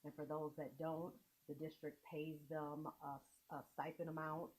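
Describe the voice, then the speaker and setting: A woman presenting to a school board, explaining contract details in a measured way. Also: low-pitched, flat delivery, darkish tone, low-quality recording. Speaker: district administrator.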